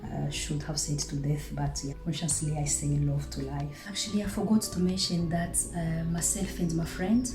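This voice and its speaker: A woman vlogger with a fairly low voice, whose first language is Swahili, talks in a relaxed, chatty way to her viewers. The room rings with echo, and clear music plays behind her. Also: moderate pace, hardly any pauses. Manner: relaxed, chatty, with self-corrections. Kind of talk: casual talk to camera.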